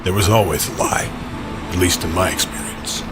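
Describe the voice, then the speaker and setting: A man's voice: low-pitched, normal speed, background noise. A man narrating a scripted first-person audio drama as its storyteller. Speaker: narrator and performer. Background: original music score.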